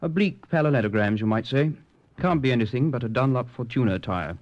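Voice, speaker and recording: An actor playing a methodical, deferential police evidence expert in an old radio drama, explaining precisely. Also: male voice, mid-range voice, lively intonation, fast talker, natural voice. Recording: dull, lo-fi old radio recording.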